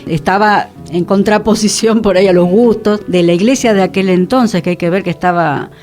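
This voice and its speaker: A woman with a mid-range voice speaks as a radio panelist. Her talk is conversational but articulate, unfolding explanations at length. Quiet guitar music runs underneath. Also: medium pitch, brisk. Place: radio programme, guitar music playing behind the voice.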